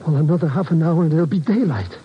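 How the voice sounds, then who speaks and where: A grumbling, nervous sidekick acted by a man whines in a fairly high voice. It is comic old radio acting on a muffled, noisy recording. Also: expressive, fast, lightly acted. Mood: cold, complaining. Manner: whining complaints. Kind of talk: 1950s radio drama acting.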